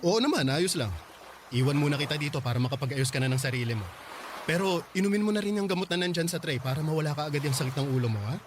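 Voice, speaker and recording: A man acting as a calm young man in a radio drama, patiently explaining and reassuring. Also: a little high, quick pace, background noise, natural voice.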